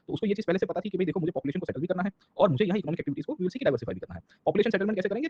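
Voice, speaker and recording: A man's voice: fairly high pitch, dark tone, breathy edge, dry recording, highs cut off. A man with an Indian accent teaching an informal exam-prep class, explaining step by step, switching between two languages mid-sentence and adding short check-in tags. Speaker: history teacher in a live online class.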